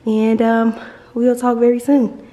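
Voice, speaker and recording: Lady vlogger doing a chatty handheld home tour, warm mellow voice, gushy. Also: a little high, monotone, slow pace, dull audio. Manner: chatty and gushing, handheld walkthrough. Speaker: lifestyle vlogger. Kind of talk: casual home tour vlog. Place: apartment indoors. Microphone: handheld compact camera mic.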